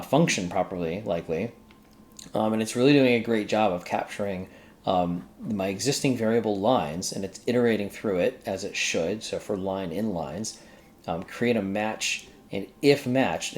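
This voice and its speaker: A man with a deep-set, low voice presents a casual programming walkthrough. He speaks informally and hesitantly, working out his thoughts out loud. Faint background noise and keyboard typing sit behind the voice. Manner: informal, hesitant, thinking aloud while working. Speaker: host of a programming tutorial. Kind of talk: casual screen-recorded coding walkthrough, thinking aloud.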